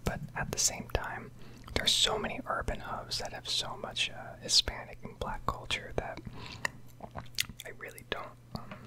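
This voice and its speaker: A woman in her early twenties from Connecticut with an American accent, rambling casually in a whispered ASMR style. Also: rough recording. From Connecticut, United States. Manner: casual, rambling, occasional swearing. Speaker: ASMR gaming video creator.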